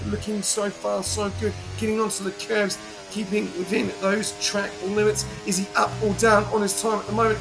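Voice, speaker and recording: A man from New Zealand commentating a live sim race, his voice croaky from being unwell, getting excited during on-board laps. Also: high voice, rough recording.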